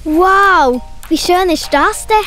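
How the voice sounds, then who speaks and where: A high, girlish voice performed by a woman as a sensitive, plucky little girl in a children's audio play. She speaks with a Swiss accent. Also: takes it slowly, sharp tone, faint music behind, exaggerated voice. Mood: delighted.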